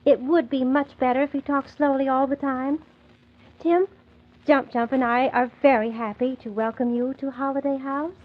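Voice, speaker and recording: A woman playing a kindly young storybook hostess in a 1940s children's radio drama, sweet, welcoming and gently indulgent. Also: high-pitched, fairly even intonation, normal speed. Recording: muffled 1940s radio transcription.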